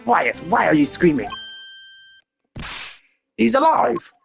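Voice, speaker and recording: A man's voice: high voice, animated, brisk, faint music behind, pitched above the performer's own voice. A man voicing a sly, dismissive woman flight attendant in a video game, with a smug, taunting manner.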